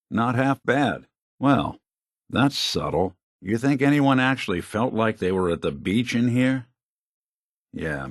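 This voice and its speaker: An actor playing a world-weary middle-aged synth detective in a video game, with a wry, dry, laconic delivery. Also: male voice, low voice, dry recording, exaggerated voice. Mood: wry, sardonic.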